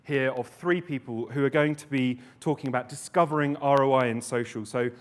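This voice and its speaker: A man's voice: medium pitch, fairly quick. A man on a conference stage introducing a panel, often breaking off mid-sentence to restart his thought. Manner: rambling, self-interrupting. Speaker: conference presenter.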